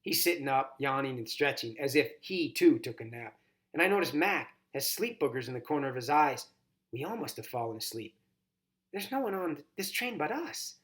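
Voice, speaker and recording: Guy reading a kids book out loud, really expressive, pitch all over the place. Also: normal speed. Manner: animated, dramatized first-person reading. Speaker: volunteer storybook reader. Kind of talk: expressive children's novel narration.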